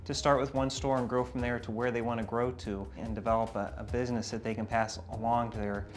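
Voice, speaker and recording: A man's voice: mid-range voice, flat delivery, fairly quick, faint music behind. A man speaking as a family franchise owner in an interview testimonial.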